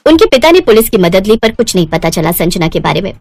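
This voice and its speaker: A woman's voice: medium pitch, big pitch swings, fast talker, darkish tone, soft background music. A woman storyteller narrating a scripted moral story.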